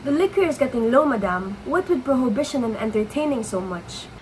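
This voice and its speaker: Woman playing high-voiced deferential household servant Dunham. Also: animated, a little fast, darkish tone, a little hiss or hum, lightly acted, poor audio. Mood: formal, dutiful. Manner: formal, polite, exclamatory. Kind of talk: dramatic play reading.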